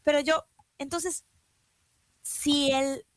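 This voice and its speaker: A woman's voice: high voice, steady tone, very slow, no room sound. A woman with a Mexican accent, chatting casually as a podcast co-host in a reflective way, often posing questions as she talks. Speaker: talk show co-host.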